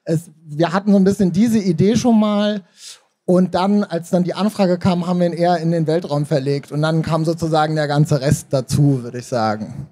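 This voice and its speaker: A man's voice: a little high, moderate pace, dark tone. A man answering casually as an interview guest, in a hedging, loosely rambling way. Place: stage at a book fair. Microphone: handheld microphone.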